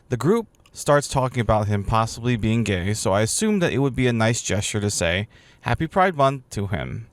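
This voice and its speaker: A man's voice: mid-range voice, no room sound. Playful man in his thirties co-hosting. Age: 30s. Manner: laughing, playful, reads listener posts aloud. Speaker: podcast co-host. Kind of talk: casual chat.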